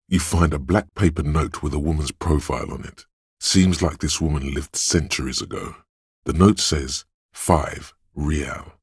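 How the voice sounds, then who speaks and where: A very deep, raspy inner voice. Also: male voice, no room sound, clean studio sound, exaggerated voice. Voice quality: very deep, gravelly, husky. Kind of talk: scripted second-person game narration.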